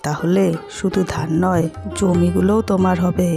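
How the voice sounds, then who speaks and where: A woman voices a crafty village wife in an animated tale. Her voice sits slightly low with a lot of creak, and she talks bossily, as if giving instructions. Faint music runs behind. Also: moderate pace, natural voice. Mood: scheming. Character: bossy, cunning village wife, scheming. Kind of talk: animated story dialogue.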